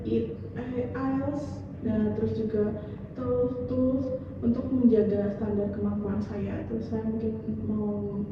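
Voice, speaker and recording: A young woman student giving casual interview answers, with fillers and tag questions. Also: medium pitch. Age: young adult. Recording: distant echoey dull recording with noise.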